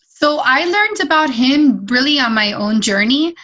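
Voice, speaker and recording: Woman, 40s, from Miami, podcast guest, chatty, animated storytelling. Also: high-pitched, measured pace, lo-fi sound. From Miami, Florida. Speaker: podcast guest, wellness platform founder. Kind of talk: casual interview.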